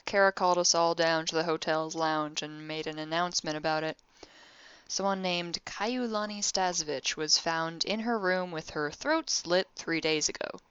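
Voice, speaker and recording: A woman playing a wry, deadpan, exasperated young spaceship crew member recording a personal audio diary in a sci-fi audio drama. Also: fairly low pitch, smooth, dry recording, highs cut off. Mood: matter-of-fact.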